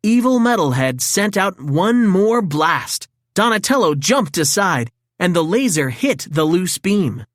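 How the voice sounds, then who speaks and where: A woman reading a children's story in an expressive, dramatic read-aloud style. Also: lowish voice, a little slow, few pauses, no echo, clean studio sound. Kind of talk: scripted storybook narration for children.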